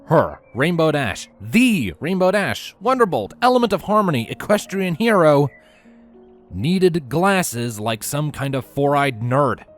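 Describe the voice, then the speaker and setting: A man narrating a story from a script in an expressive, animated way with theatrical emphasis. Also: fairly high pitch, unhurried, soft background music. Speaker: audiobook-style narrator of fan fiction.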